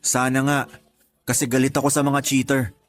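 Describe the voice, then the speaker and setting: A man's voice: mid-range voice, little pitch movement, moderate pace, faint music behind, lightly acted. An actor playing a stubborn, principled bachelor in his thirties with a Filipino accent, answering defensively in a radio drama. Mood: annoyed. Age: 30s. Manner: defensive, terse, irritated replies. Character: stubborn, principled 31-year-old bachelor, homebody son.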